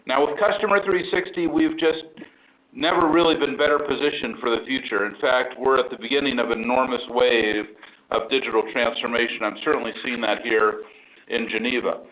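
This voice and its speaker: A man's voice: medium pitch, steady tone, fairly quick, muffled recording. A man, a company chief executive, speaking on an earnings call in an upbeat, enthusiastic way, with frequent fillers. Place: remote call line from abroad.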